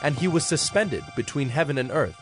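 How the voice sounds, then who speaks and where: A man's voice: fairly high pitch, expressive, a little fast. Solemn male narrator over music. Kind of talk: scripted scripture narration. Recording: music bed under narration.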